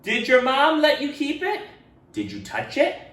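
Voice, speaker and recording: Guy putting on an excited nosy little kid voice for a storybook, super high, roomy. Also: a little slow, full character voice. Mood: curious. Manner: eager, squeaky questioning. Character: excitable, curious young classmates firing questions. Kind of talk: picture-book character voice. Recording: roomy echo, distant microphone.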